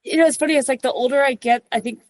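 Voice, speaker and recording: A woman's voice: high voice, very fast, no echo. A young woman from New York City answering interview questions from her office cubicle, thoughtful and full of "like" and restarts. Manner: thoughtful, many "like" fillers and restarts. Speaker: podcast guest, mountain guide.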